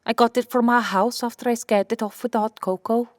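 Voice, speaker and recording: Woman acting coolly determined scientist, higher-pitched. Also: fairly even intonation, brisk, no echo, studio quality, close to an everyday voice. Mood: clinical, analytical. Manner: matter-of-fact, explanatory, firm. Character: Santa's brisk, clinical scientist wife, immortal, coolly determined. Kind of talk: audiobook drama acting.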